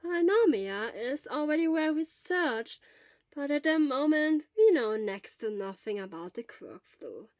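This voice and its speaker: A kindly but firm female school nurse from an audiobook story, as a woman reader puts her on. She speaks high, in measured explanations with a stern streak. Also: lively intonation, darkish tone, dry recording, clean studio sound. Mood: gently explaining. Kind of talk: acted audiobook dialogue.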